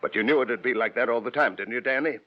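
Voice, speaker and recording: An actor playing a smug, sardonic, murderous crooked lawyer in a 1940s radio crime drama. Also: male voice, mid-range voice, fast, lightly acted. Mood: gloating menace. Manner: sardonic, sneering, mock-polite. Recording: dull vintage radio recording.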